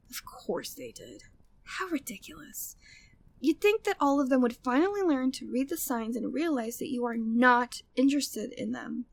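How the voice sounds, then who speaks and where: High-voiced flirty, devoted maid played by a woman. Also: lively intonation, a little slow, heavily acted. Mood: annoyed, protective. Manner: formal, genteel phrasing, breathy sighs, teasing. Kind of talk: intimate ASMR roleplay, scripted monologue.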